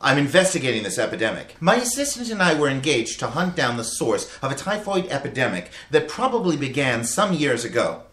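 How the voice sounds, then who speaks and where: Man acting an insistent, animated health investigator. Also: mid-range voice, a little fast, few pauses. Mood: firm, polite. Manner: polite, precise, persistent. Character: formal, insistent male health investigator of 1906. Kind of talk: old-time radio acting. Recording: roomy, with background noise and clicks.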